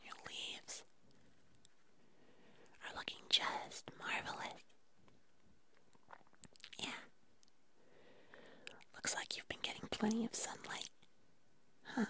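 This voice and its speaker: A woman doing a gentle, pampering ASMR roleplay, speaking with many pauses. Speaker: ASMR performer. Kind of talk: whispered ASMR personal attention roleplay.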